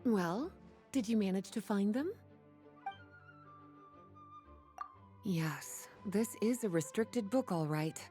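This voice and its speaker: A languid fantasy-game librarian, voiced by a woman, with a mellow, relaxed tone. Her lines swing gently up and down as she teases in an unhurried, coy manner. Music plays behind her. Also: mid-range voice, lightly acted. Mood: knowing. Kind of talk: video game character dialogue. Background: background music.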